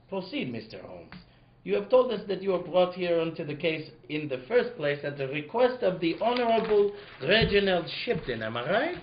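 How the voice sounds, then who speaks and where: A pompous French prefect played by a man, blustering and formal in an old-time radio comedy. The high voice swings widely and sounds slightly dull on the recording. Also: echoey room, slight background noise. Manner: pompous, loud calls for order. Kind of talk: comic old-time radio drama acting.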